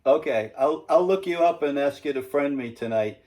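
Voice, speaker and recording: A man's voice: a little high, normal speed, darkish tone. A man in his seventies from New England with an American accent, talking in a chatty, easygoing, folksy way. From New England, United States. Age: 70s. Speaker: singer and guitarist. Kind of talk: casual chat on a phone call. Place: at home, indoors.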